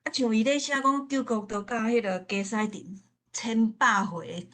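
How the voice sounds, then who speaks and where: A woman's voice: on the high side, moderate pace, fairly bright tone. A woman from southern Taiwan with a southern Taiwanese accent, speaking casually and reminiscing as a participant in a forum discussion. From Pingtung, southern Taiwan.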